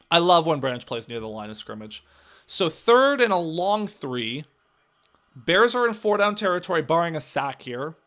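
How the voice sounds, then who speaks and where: Hoarse, raspy young man calling football. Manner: excitable, swings between loud calls and low muttering. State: hoarse, voice cracking. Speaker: live reaction streamer. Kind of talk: casual play-by-play commentary.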